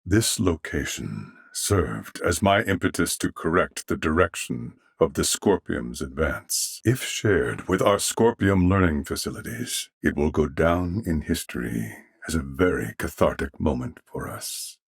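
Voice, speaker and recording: A man voicing a courteous, earnest mechanical alien life form in a video game, speaking formally and with measured, polite precision in a husky voice. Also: very deep voice, expressive, no echo, studio quality, full character voice. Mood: calm, explanatory. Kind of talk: video game character dialogue.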